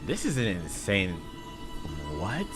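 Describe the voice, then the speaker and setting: A man's voice: fairly low pitch, huge pitch swings, slow, over music. A young man giving casual, blunt game reaction commentary with slang and swearing. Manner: slangy, blunt, profane, says bro often. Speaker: gaming reaction video creator.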